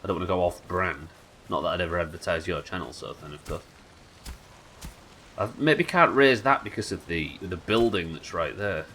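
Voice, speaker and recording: A man with a nasal voice, a little hoarse from illness, chatting wryly and swearing casually while streaming a game live. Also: sits low, normal speed, a bit bright, slight background noise. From United Kingdom. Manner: chatty, wry, swears casually, muttering asides. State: sick, slightly hoarse.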